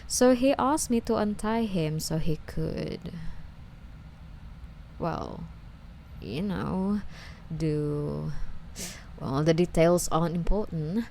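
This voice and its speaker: Obsessive, lovesick young female stalker played by a woman for ASMR roleplay: coy and wheedling, slow, with creaky fry. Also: on the low side, expressive, mellow, slight background noise, full character voice. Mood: wistful, confiding. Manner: coy, wheedling, hesitant, sighing delivery.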